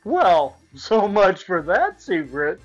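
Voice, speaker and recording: A woman's voice: fairly low pitch, sing-song, slow pace, muffled recording, faint music behind, heavily acted. A woman voicing a kindly, patient schoolteacher in an undersea storybook, instructive and reassuring. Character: kindly female schoolteacher sea creature, patient and announcing.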